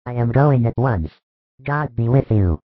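A calm, solemn male teacher speaks with measured authority, a little louder than the telling around him, as the narrator voices him. The sound is dry and tinny, close to a phone line. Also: mid-range voice, dry recording.

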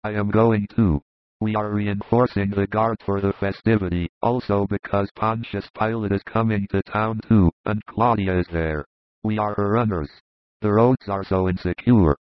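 A man voicing a jovial, confident Roman centurion in an audiobook, in a low, confiding, easygoing voice. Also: fairly even intonation, moderate pace, no echo, close to an everyday voice. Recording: dull lo-fi dry recording.

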